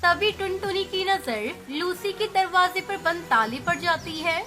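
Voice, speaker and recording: A woman's voice: very high-pitched, lively intonation, measured pace. A woman reading scripted narration for a children's animal story. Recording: music behind the voice.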